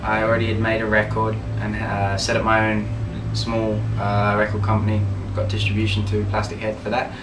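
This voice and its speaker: A young man from Birmingham talks casually in an interview, steady and measured with many fillers, as band leader. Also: lowish voice, background noise. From Birmingham, England. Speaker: band leader, guitarist and singer. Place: band label office. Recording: roomy office, distant mic, music behind.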